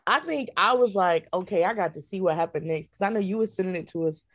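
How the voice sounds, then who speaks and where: A woman's voice: on the low side, quick pace. A woman answering casually as a cast member in an interview. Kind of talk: casual chat.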